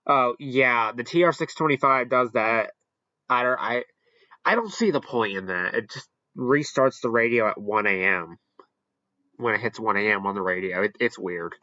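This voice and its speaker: A man hosting a casual, unscripted ranking commentary, halting and rambling as he corrects himself along the way. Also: mid-range voice, pushed and bright, no echo, dull audio. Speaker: video host ranking products.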